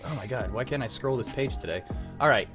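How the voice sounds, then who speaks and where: A man's voice: medium pitch, quick pace, music in the background. A young man hosting a casual trivia game with friends on a livestream, playful and teasing. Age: young adult. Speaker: quiz host.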